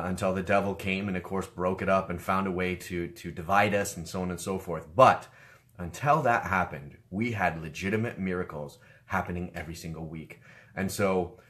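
A man in his thirties, hoarse and sick with a cough, excitedly sharing a personal testimony from a small room on a webcam. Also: low voice. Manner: animated, gestures with his hands. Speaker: online ministry host. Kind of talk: personal testimony, casual preaching. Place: small room, seated in a leather chair.

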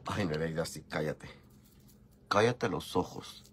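A man's voice: low-pitched, slow, fairly bright tone, a little hiss or hum. A man in his forties with a Mexican accent and a cold, chatting casually and swearing freely as he greets viewers while doing makeup at home on a phone camera. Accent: Mexican Spanish. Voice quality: gravelly. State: has a cold. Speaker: makeup vlogger. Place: indoor home makeup room.

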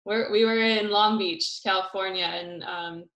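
A woman's voice: mid-range voice, steady tone, unhurried, a bit bright. Friendly woman in an echoey room. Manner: friendly, hesitant with many "um"s. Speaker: Olympic sailor reading out questions. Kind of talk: casual online Q&A. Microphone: video call. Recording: echoey room on a video call.

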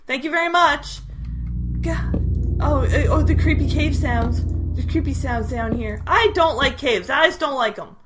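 A woman's voice: high voice, a little slow, music in the background. A young woman with a Canadian accent giving casual gameplay commentary, given to sudden startled squeals and chatty asides to her viewers. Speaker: gaming video creator.

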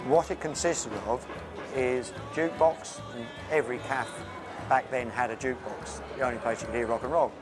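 A man in an interview, explaining with animated delivery that speeds up and slows down. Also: medium pitch. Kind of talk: interview recollection. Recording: music playing underneath.

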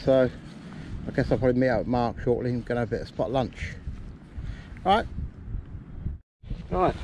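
A man's voice: medium pitch, gravelly. Matey man, noisy windy recording. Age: middle-aged. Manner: informal, colloquial, matey. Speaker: metal detecting vlogger. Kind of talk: casual vlog chat and commentary. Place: open field outdoors. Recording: windy outdoor noise on action camera.

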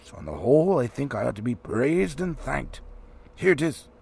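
Man voicing a stern, emphatic old wizard, gravelly. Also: medium pitch, big pitch swings, moderate pace, a little mellow, exaggerated voice. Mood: testy, firm. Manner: emphatic, grim. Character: stern old male wizard, authoritative and testy. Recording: faint background noise.

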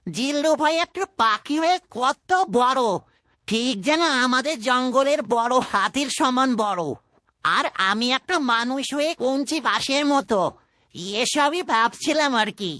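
A woman's voice: sits high, a little slow, sharp tone, dry recording, studio quality. A woman voicing a skinny, cowardly, boastful male bandit in a cartoon, wordy and blustering. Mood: sheepish. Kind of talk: cartoon voice acting.

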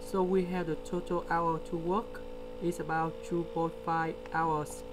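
A man with a delivery, explaining a word problem step by step in a screen-recorded lesson. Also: on the high side. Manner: slow, step-by-step explaining with pauses. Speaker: tutor. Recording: steady electrical hum behind.

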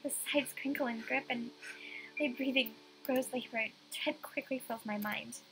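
A woman's voice: a little high, moderate pace, creaky, fairly bright tone, a little hiss or hum, poor audio. A young woman reading a visual novel aloud as dramatic first-person story narration.

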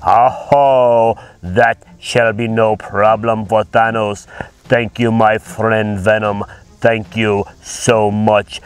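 A man doing a gloating Thanos with a booming laugh. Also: level tone, slow, clean tone. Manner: booming ho-ho laugh, speaks of himself in third person. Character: pompous scheming male villain warlord. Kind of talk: toy play voice acting.

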